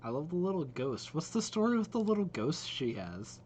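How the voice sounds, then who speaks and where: A man hosting a reaction video with casual, impressed commentary, thinking out loud as he watches. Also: on the high side, sing-song, normal speed, a little hiss or hum. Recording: game trailer music playing behind. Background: game trailer audio and music.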